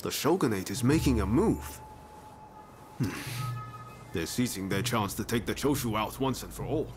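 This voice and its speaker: An actor playing a determined, idealistic young samurai in a video game cutscene, speaking in an earnest, conversational and persuasive way. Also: male voice, on the low side, lively intonation, normal speed, over music, natural voice. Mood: thoughtful.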